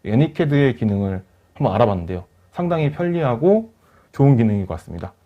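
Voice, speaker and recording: A man gives an explanatory software demonstration in a medium-pitched voice. His speech is polite and formal, like a presenter walking through each step, and the audio is slightly dull and band-limited. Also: big pitch swings, fast talker. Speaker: webinar presenter. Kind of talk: software demonstration, part rehearsed narration.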